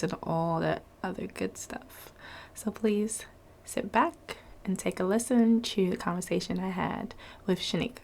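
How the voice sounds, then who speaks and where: A woman's voice: medium pitch, vocal fry, a little mellow. A woman hosting a podcast interview. She is under the weather and talks in a casual, friendly way with plenty of fillers. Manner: friendly and chatty, with frequent fillers. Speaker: podcast host.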